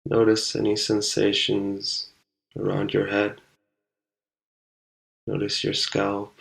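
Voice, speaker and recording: A man leading a guided meditation, speaking calmly and unhurriedly with long pauses between short instructions. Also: on the low side, creaky, low-quality recording.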